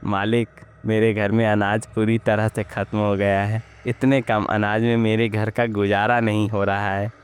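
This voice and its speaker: A man's voice: lowish voice, level tone, unhurried, few pauses, clean tone, lightly acted. Man playing a poor, troubled farm labourer. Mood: troubled, humble. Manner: pleading, troubled. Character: poor, hungry, desperate male farm labourer with a family.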